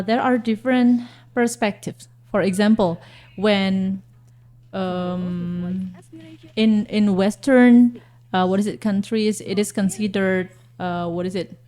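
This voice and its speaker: Hesitant woman with a mellow voice. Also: mid-range voice, fairly even intonation, slow pace. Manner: hesitant, searching for words with repeated fillers. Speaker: guest teacher. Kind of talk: radio talk show discussion.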